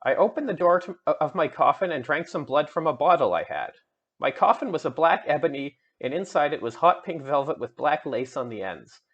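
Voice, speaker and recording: A man's voice: on the high side, expressive, brisk, a bit bright, dull audio. A man voicing a melodramatic teenage goth vampire schoolgirl in an emphatic, petulant dramatic fan fiction reading. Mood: earnest, dramatic. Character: melodramatic seventeen-year-old goth vampire schoolgirl narrator.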